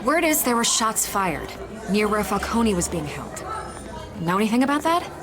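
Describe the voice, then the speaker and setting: A woman's voice: medium pitch, sing-song, moderate pace, crowd noise, natural voice. A woman voicing a probing newspaper reporter in a video game, insistent and coaxing. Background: music.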